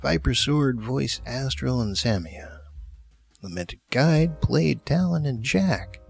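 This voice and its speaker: A man's voice: medium pitch, vocal fry, a little mellow, husky. A man hosting the end of an audio series, reading credits and thanks in a casual scripted style.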